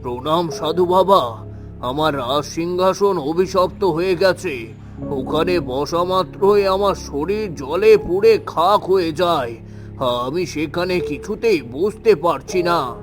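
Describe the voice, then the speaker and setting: A man's voice: a little high, slow, over music. An actor playing a troubled, solemn and trusting king in a melodramatic cartoon. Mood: distressed, weary.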